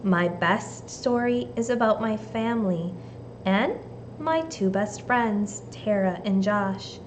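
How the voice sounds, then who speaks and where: A woman from the United States reading a storybook aloud and giving children simple, deliberate instructions like a teacher. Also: mid-range voice, slight background noise, low-quality recording. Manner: deliberate, simple phrasing addressed to young children.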